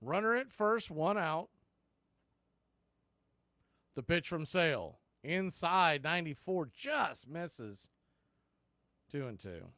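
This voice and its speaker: An American man with a high voice does baseball play-by-play in a dry, close sound. He speaks in short, punchy calls and drops in conversational asides between pitches. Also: very animated, slow, long pauses, pushed and bright, no room sound. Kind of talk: live radio play-by-play commentary.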